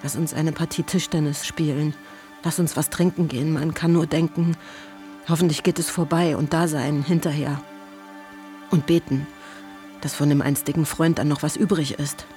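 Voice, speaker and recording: A woman's voice: deep voice, fairly even intonation, warm tone, close to an everyday voice. Actress as a blunt, caring woman over music. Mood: resigned. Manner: blunt, deliberate, sardonic. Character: exasperated, caring woman friend, blunt and resigned. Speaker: radio drama actress. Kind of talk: letter read as dramatic monologue in radio play.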